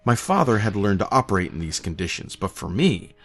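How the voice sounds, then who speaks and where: A man narrates a scripted horror story in a fairly low voice. His delivery is measured and literary. Soft, eerie music runs faintly behind him. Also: sing-song, vocal fry.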